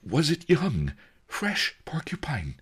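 A man's voice: fairly high pitch, huge pitch swings, measured pace, husky, voice pushed up, full character voice. A man voicing a huge, gluttonous merchant in a storybook, gasping, anxious and fawningly polite. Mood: rapt gasping. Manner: gasping, breathless, deferential.

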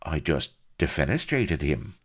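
A man's voice: rumbling low, sing-song, unhurried, pitched above the performer's own voice, heavily acted. A man voicing a bad-tempered, boastful rogue colonel in a comic audiobook, snappish and offhand. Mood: careless, bitter.

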